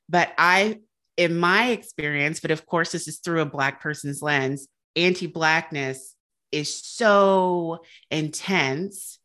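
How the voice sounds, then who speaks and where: A woman's voice: sits low, a bit bright. A woman in her thirties from the Southern United States, a guest author speaking earnestly and reflectively in a casual interview. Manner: reflective, earnest, laughs lightly.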